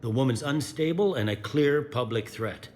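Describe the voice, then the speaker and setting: An actor playing a gruff police commander in a sci-fi game, blunt and wry. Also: male voice, medium pitch, normal speed, darkish tone, close to an everyday voice. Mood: exasperated. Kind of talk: video game dialogue acting. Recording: faint background noise and ambience.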